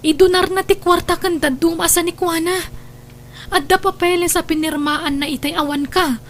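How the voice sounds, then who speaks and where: A woman's voice: extremely high pitch, steady tone, moderate pace, a little hiss or hum. A woman playing a scheming, greedy young adopted daughter with an Ilocano accent in a radio drama. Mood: tattling, urgent.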